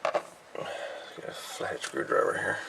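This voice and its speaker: A man giving a casual, unhurried, folksy hands-on repair narration, thinking aloud as he goes. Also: heavy background noise. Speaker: hobbyist radio repairer presenting a video.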